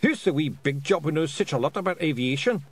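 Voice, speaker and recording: A man voicing a very small, jumpy, sheepish pictsy pilot with an excitable, deferential Scottish way of talking, in a storybook reading. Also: on the high side, fairly quick, voice pushed up. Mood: grumbling. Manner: excitable, deferential dialect speech.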